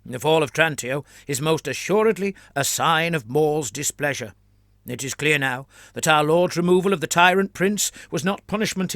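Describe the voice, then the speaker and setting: A man's voice: a little high, a bit bright, dry recording, studio quality, pitched above the performer's own voice. A man voicing a stern, zealous senior priest who preaches with earnest insistence. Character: stern zealous male priest, pleading for war.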